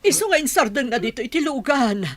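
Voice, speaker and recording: A woman's voice: high voice, sing-song, fast, dry recording. A woman playing an anxious married madam and worried mother in an Ilocano radio drama. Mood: flustered.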